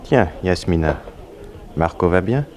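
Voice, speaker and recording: Deep-voiced, terse bar owner. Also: male voice, animated, measured pace, a little mellow. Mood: terse, confidential. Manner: laconic, confidential. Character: terse, shady male underworld bar owner. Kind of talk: 1960s French radio drama acting. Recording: faintly noisy vintage radio recording.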